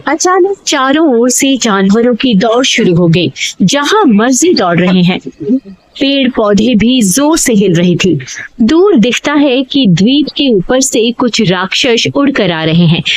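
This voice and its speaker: Woman, cartoon narrator, lively, slightly high voice. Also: measured pace, dry recording, poor audio. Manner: animated storytelling. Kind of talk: scripted storybook narration.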